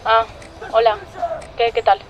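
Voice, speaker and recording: A woman's voice: fairly high pitch, little pitch movement, measured pace, crowd noise, close to an everyday voice. An actress playing a wary, hesitant young woman fending someone off in an audio drama phone call. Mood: casual. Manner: hesitant, evasive. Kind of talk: acted phone-call reenactment.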